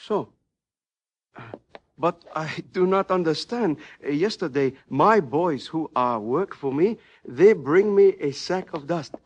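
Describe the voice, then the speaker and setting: Casting: serene, shrewd Chinese mine owner, Chinese-accented formal English, fairly high voice, muffled vintage radio western. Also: male voice, animated, a little hiss or hum. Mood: courteous, innocent. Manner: formal, courteous, deliberate broken English. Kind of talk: old-time radio western acting. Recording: muffled old radio recording.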